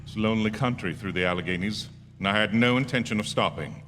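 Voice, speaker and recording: A man acting as an uneasy motorist in a radio drama, nervous and sometimes halting as he tells of being haunted. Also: fairly low pitch, music in the background, lightly acted. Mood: haunted, tense. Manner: nervous, halting, stammering under strain. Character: uneasy male motorist haunted by a recurring hitchhiker. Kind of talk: radio drama first-person narration and acting. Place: stage of a theatre. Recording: echoey theatre hall with long reverb.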